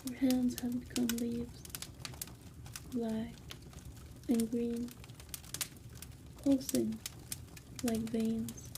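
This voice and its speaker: Woman, ASMR storyteller, hushed delivery, flat intonation, crawling pace, dramatic pauses, creaky edge, noisy ambient backdrop. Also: a little high. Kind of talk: whispered ASMR bedtime story narration.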